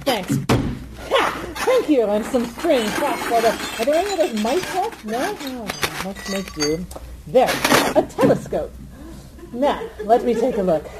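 A woman playing a brisk, brainy young inventor in comic radio theatre, matter-of-fact and often exasperated. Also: on the high side, big pitch swings, heavy background noise. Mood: brisk, analytical.

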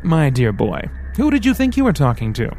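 A man voicing a smooth, sarcastic male devil who teases and threatens by turns in an audiobook. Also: a little high, wildly swooping pitch, brisk, mellow, faint music behind. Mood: mocking. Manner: teasing, sarcastic, theatrical. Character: sly mocking male devil, smooth, menacing.

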